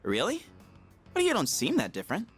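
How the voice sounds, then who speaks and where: Easygoing teen boy, lively pitch swings, slangy, goofy, video game, faint music. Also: fairly quick, husky, full character voice. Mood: casual, musing. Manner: casual, slangy, animated. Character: slangy, goofy teenage schoolboy, easygoing slacker.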